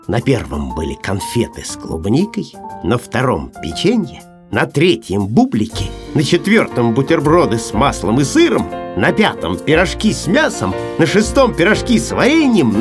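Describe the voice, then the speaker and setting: A man narrating a children's story as an expressive audiobook reader, with music behind him. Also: a little high.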